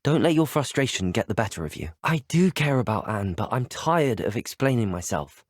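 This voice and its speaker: A young man acting as an earnest, determined student wizard in a video game, speaking in an articulate, formal and measured way. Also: medium pitch, lively intonation, dry recording, clean studio sound, natural voice. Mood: firm, earnest. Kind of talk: scripted game dialogue.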